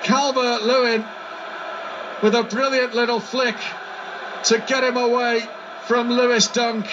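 Man, football commentator, very high voice, dull broadcast clip, noisy background. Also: measured pace. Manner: excited, shouting over goals.

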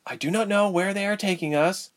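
A man's voice: high-pitched, fairly quick, voice pushed up, lightly acted. A man voicing a tough, guarded young woman held hostage in an audiobook story. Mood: firm.

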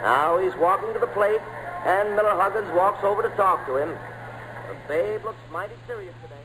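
A man's voice: high voice, normal speed, close to an everyday voice. A man playing an earnest baseball play-by-play announcer in old radio style, on a noisy recording. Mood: tense excitement. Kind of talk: 1940s-style radio sports commentary.

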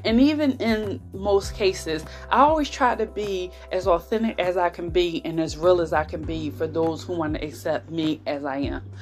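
A woman's voice: fairly low pitch, steady tone, normal speed. American woman podcasting casually over music. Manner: conversational, emphatic, repeats phrases for stress. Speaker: podcast host. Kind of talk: casual heartfelt solo podcast talk. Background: background music.

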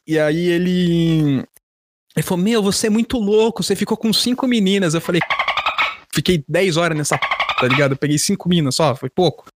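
Higher-voiced Brazilian man telling stories. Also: no room sound, clean studio sound. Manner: slangy, foul-mouthed. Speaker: podcast host. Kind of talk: casual chat, animated storytelling.

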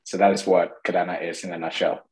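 A man in his thirties from Ghana with a fairly low voice, a startup co-founder answering interview questions. He talks in a relaxed, easygoing way, filling pauses with "uh" and "you know.". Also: rough recording.

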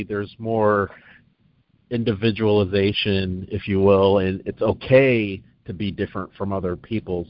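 A male host asks long, meandering questions with plenty of hesitation. His voice sits a little low. The recording is muffled and lo-fi. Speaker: interview host. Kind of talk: interview questions. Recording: dull lo-fi sound.

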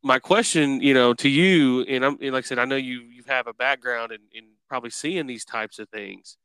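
A man's voice: medium pitch, normal speed, pushed and bright, clear, resonant tone, no echo, low-quality recording. A man hosting a casual interview, rambling and circling his way toward a question. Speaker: podcast host interviewer.

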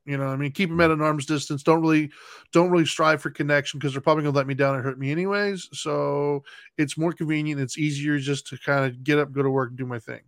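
A man's voice: a little high, fairly even intonation, fast, dry recording, studio quality. A man talking thoughtfully in a casual podcast conversation, often searching for words with fillers and restarts. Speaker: podcast co-host.

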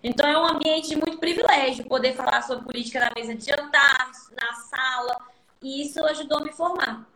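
A woman's voice: high voice, brisk, sharp tone, roomy sound, rough recording. A young woman with a Mineiro accent, a political guest speaking in an earnest, reflective way with conviction. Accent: Mineiro Brazilian Portuguese. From Minas Gerais, Brazil.

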